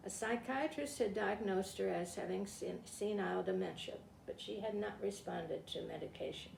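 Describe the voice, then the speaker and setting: An elderly woman with a creaky voice, speaking in a halting, informal way as she presents to a group. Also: mid-range voice, dark tone. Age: 70s or older. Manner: halting, rambling, self-interrupting. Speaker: retired teacher and read-aloud presenter. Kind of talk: informal talk and reading aloud to a group. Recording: distant mic, echoey room, faint noise.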